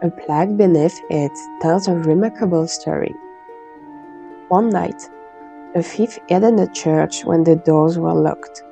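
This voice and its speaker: A woman with a dark, mellow and slightly gravelly voice hosts a podcast, talking slowly and thinking aloud as she goes. She drifts between easy, meandering chat and reading a short legend aloud, with faint music underneath. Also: on the low side. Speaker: podcast host.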